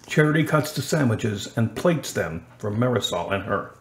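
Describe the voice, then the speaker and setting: A man's voice: lowish voice, expressive, normal speed. A man reading scripted scene narration for a radio drama in a plain, matter-of-fact way.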